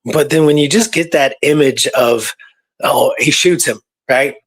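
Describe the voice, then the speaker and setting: A man's voice: fairly high pitch, a little slow, fairly bright tone, breathy edge. A man co-hosting a talk show, telling history in a casual, conversational way, often checking in with the listener. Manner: conversational, peppered with "you know" and "right". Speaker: radio show co-host. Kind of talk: casual storytelling about Old West history.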